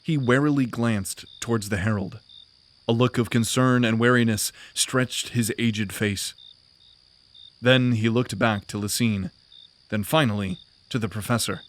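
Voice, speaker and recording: A man narrating a fantasy audio drama in a steady, even storytelling manner. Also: medium pitch, a little slow.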